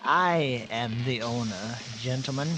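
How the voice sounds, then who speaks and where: A man's voice: mid-range voice, a little slow, pushed and bright, a little hiss or hum. A man playing a bitter, megalomaniac mad scientist with a theatrical, savouring delivery, in old-time radio horror comedy style. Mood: politely evasive. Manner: theatrical, savouring words, grandiose. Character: megalomaniac male mad scientist, bitter, grandiose, outwardly courteous.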